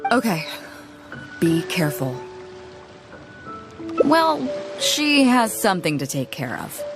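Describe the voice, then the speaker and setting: A woman's voice: on the low side, sing-song, slow pace, heavily acted. A woman voicing a bold, confident female pirate captain in a video game cutscene, talking in a brash, teasing and commanding way. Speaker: fleet captain. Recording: game audio with background music.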